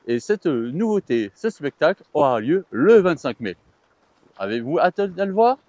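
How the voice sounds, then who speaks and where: A man's voice: a little high, big pitch swings, unhurried, darkish tone, no echo. A man co-hosting a chatty vlog, talking in a playful, joking way. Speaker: vlog co-host. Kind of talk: chatty theme park news vlog.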